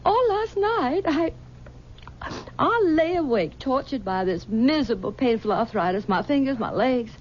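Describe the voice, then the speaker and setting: A possessive older mother in a vintage radio play. She coaxes, pleads and stammers in a high voice. The broadcast sound is dull, with faint hiss. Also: sing-song. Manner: coaxing and possessive, halting with stammers. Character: clinging, possessive aging mother. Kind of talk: 1970s radio drama acting.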